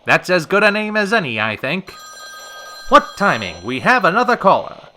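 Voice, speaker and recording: A man acts out a smooth-talking host of a pulp-city radio hour. His male voice sits high and swings in lively arcs, with a sharp, ringing edge. He is wordy and polished, with dry sarcasm under the showmanship. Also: normal speed, slight background noise, full character voice. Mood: polished, wry. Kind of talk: old-time radio show hosting.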